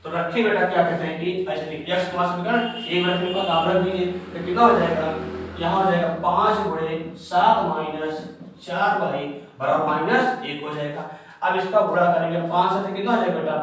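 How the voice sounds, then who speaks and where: A man's voice: on the high side, steady tone, moderate pace, fairly bright tone, slight background noise. A man teaching a math class, working each step aloud, asking the pupils a question and then answering it himself. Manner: repeats each step as a question to pupils, calls them beta. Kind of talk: math lesson at a board. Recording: echoey room, voice from far off.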